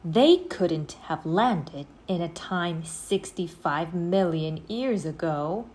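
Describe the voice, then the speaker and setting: A woman reading a children's story aloud in an expressive, scripted storytelling style. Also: lowish voice, takes it slowly.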